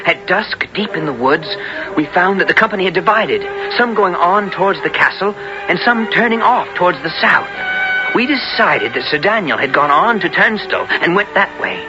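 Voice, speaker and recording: Earnest young squire, high-pitched and animated. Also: male voice, moderate pace. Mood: downcast, determined. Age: young adult. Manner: formal, archaic, earnest storytelling and dialogue. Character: earnest, brave young squire fighter, loyal and lovelorn. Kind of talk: 1970s radio drama acting and narration. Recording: muffled old radio broadcast with music.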